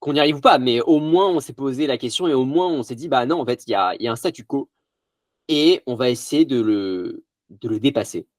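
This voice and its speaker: Animateur enrhumé et enthousiaste. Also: male voice, medium pitch, expressive, fairly quick, dry recording, clean studio sound. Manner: enthusiastic, stumbling restarts. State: has a cold. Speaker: podcast host. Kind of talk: casual interview talk.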